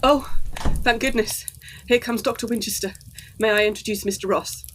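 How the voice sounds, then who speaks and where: A woman's voice: on the high side, steady tone, normal speed, a little hiss or hum, natural voice. A woman playing an anxious, well-bred young Edwardian daughter, polite and earnest, in a gothic audio drama.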